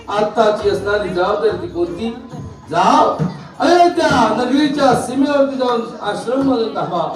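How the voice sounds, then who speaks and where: A man playing a pious, solemn sage in folk theatre, declaiming grandly in a sing-song way. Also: extremely high pitch. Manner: sing-song, grandly oratorical delivery with chanted invocations. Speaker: stage performer in a devotional mythological puppet play. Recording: echoey stage hall, far mic, music behind.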